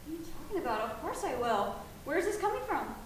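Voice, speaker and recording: A woman's voice: high-pitched, big pitch swings, quick pace. A woman playing a loving but suspicious mother who keeps asking anxious questions of her grown daughter. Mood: concerned. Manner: anxious, questioning, rising to alarm. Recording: echoey room, distant mic, noisy ambience.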